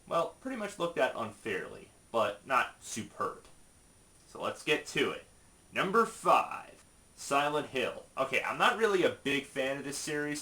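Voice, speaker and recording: Man, video reviewer, lively varied intonation, mid-range pitch, informal, emphatic, opinionated, halting asides. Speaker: video reviewer presenting a top five list. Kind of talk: casual opinionated video commentary.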